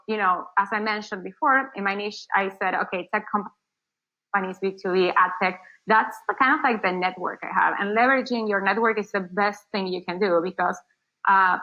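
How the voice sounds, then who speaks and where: A woman's voice: mid-range voice, pushed and bright, dull audio. A woman from Argentina, not a native English speaker, giving casual interview answers as an agency founder, rambling with many fillers and restarts. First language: Spanish.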